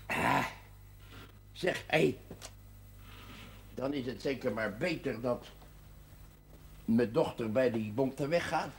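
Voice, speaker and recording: A man's voice: mid-range voice, slow pace, gravelly, pauses often, a little hiss or hum. An actor playing a blunt, suspicious, hot-tempered working-class father who dislikes the clergy, in a naturalistic 1970s television drama. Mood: grudging. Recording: audio of 1970s television broadcast, music.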